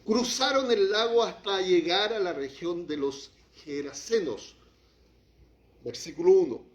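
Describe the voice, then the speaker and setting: A man preaching a sermon as pastor, shifting from quiet, low passages to raised, heated lines. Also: unhurried. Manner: impassioned preaching, drops to quiet, then rises to acted outbursts. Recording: echoey hall, muffled lo-fi sound.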